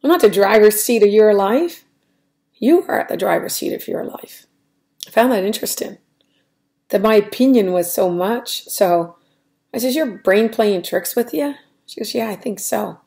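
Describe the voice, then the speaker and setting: Raspy middle-aged woman, rambling vlog. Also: mid-range voice, expressive, moderate pace, warm tone. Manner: rambling, hesitant, many ums and restarts. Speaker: weight-loss vlogger. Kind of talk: casual diary vlog. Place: small room at home, indoors. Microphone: webcam at desk.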